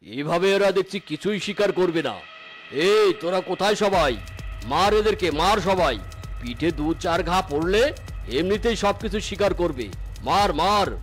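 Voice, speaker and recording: High male voice, angry cartoon village chief acted by a man, bullying, commanding, faint music behind. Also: normal speed, close to an everyday voice. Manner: accusing, commanding. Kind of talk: cartoon story voice acting.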